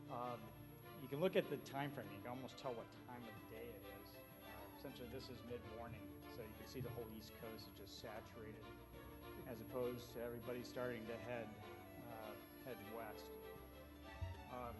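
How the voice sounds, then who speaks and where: An American man presents at a conference as a speaker. His delivery is informal and colloquial, broken up by frequent ums and pauses. His voice sits at a middling male pitch, with music faintly present in the recording. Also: poor audio. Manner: halting, frequent filled pauses; conversational, colloquial.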